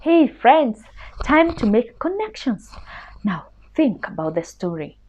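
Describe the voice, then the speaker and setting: A woman with a fairly high voice reads to children. Her delivery is extremely slow and teacherly, with very animated pitch, captured on a slightly dull recording. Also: a little hiss or hum. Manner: deliberate, teacherly. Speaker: children's story reader. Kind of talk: expressive read-aloud storytelling for children.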